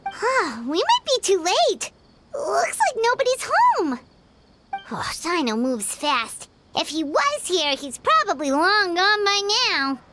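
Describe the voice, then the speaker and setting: A tiny, chirpy companion chatters in a piercingly high, squeaky voice, bouncing all over the scale. She is excitable and quick to exclaim. Game music plays clearly behind her lines. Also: heavily acted. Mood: worried. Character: small excitable female flying companion. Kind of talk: game voice acting.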